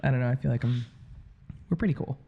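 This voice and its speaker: A woman's voice: a bass voice, fast, breathy edge, dull audio. A woman in her thirties co-hosting a podcast, blunt and swearing, talking about her work and family. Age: 30s. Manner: blunt, profane, animated. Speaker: podcast co-host, bartender. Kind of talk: casual chat.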